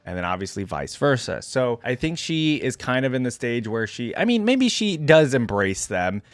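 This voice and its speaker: A man's voice: medium pitch, very animated, moderate pace, dry recording. Dry-sounding man casually reacting on mic. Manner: conversational, thinks out loud. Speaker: reaction video host. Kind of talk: casual reaction commentary mixed with reading aloud.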